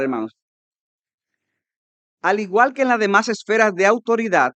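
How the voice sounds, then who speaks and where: High-voiced Dominican preacher, dry sound. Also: male voice, smooth, no room sound. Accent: Dominican Spanish. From Dominican Republic. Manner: emphatic preaching, rising to loud peaks, mimics hearers' objections. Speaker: pastor. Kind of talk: conference sermon.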